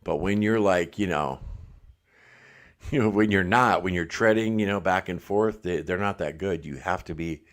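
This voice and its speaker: A man's voice: sits low, measured pace. A middle-aged man hosting a casual livestream, chatting and reading comments, a little congested. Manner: relaxed, chatty, laughs often. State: congested, blocked ear. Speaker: livestream host.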